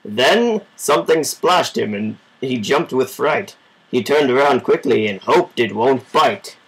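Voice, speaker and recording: Slow, gravelly man reading a story. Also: mid-range voice, lively intonation, fairly bright tone, low-quality recording. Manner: informal, measured amateur reading. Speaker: storybook reader. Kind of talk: reading a children's story aloud.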